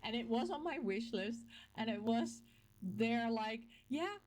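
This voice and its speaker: A woman's voice: fairly high pitch, unhurried. Chatty Dutch-accented woman, playfully emphatic. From Netherlands. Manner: animated storytelling with self-quotes and playful emphatic repetition. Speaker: video game vlogger and host. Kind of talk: casual, chatty talk to camera.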